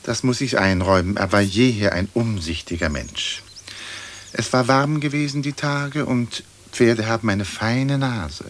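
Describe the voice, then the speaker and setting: An actor playing a dead aristocratic lord in a radio play, speaking formally in measured, old-fashioned, dry phrases. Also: male voice, mid-range voice, slight background noise, close to an everyday voice. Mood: dry, stately. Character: aristocratic dead English lord, dignified, dry, long-winded.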